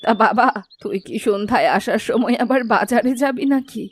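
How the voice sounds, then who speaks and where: Woman as a kindly high-voiced village granny. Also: brisk, lightly acted. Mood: gently chiding. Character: kindly, chatty elderly village grandmother. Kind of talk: Bengali cartoon story acting. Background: music.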